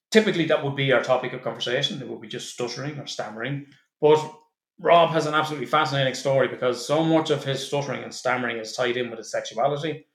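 A man's voice: mid-range voice, reverberant. A man hosting a podcast as a psychotherapist, in casual banter with swearing and teasing.

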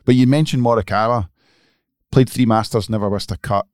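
A man's voice: lowish voice, brisk, darkish tone, smooth, no room sound, studio quality. A man co-hosting a podcast, giving emphatic, opinionated golf picks in casual chat. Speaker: podcast co-host.

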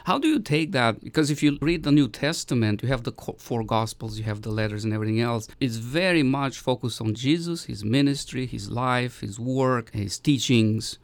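A man's voice: medium pitch, no echo. Romanian-raised male co-host, mid voice, reflective questioning manner, dry close sound. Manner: thoughtful, asks questions, many fillers. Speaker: podcast co-host. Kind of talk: casual Bible study discussion.

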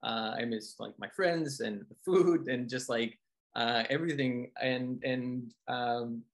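A man's voice: mid-range voice, slow. A man from Colombia, a Spanish speaker, telling his story casually as an interview guest. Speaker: interview guest, film composer.

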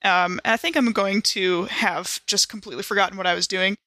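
A woman's voice: mid-range voice, fairly even intonation, fairly quick, pushed and bright, no echo. A woman chatting casually and improvising as a player in a tabletop role-playing game.

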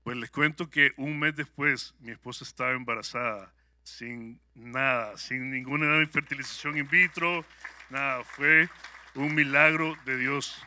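A man's voice: mid-range voice, fairly even intonation, unhurried, sharp tone. A man from El Salvador with a Salvadoran Spanish accent, sharing a personal testimony with a congregation in a conversational, upbeat way, with many hesitant fillers. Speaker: guest speaker at a church service.

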